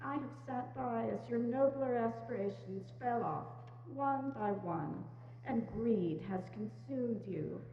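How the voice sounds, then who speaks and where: A woman's voice: fairly high pitch, slow pace, gravelly. A woman playing a sorrowful, resolute young fiancée in a dramatic stage reading, recorded in a church hall. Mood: reproachful. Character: sorrowful, resolute young fiancée releasing her changed lover. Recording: dull, noisy, echoing church hall.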